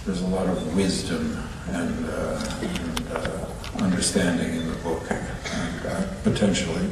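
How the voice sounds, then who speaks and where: A man answers reflectively and haltingly as a guest at a live event. His voice is deep and slow. It comes from far off in a noisy, echoing hall. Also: level tone. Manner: halting, thoughtful. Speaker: film panel guest. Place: large cinema auditorium, public Q&A.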